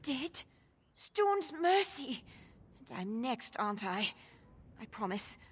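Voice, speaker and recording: An actress playing a frightened, defensive young female informant in a video game, guarded and plain-spoken with a husky voice. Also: fairly high pitch, big pitch swings, slow pace, full character voice. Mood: pleading, fearful. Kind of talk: video game character dialogue. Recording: long hall-like reverb.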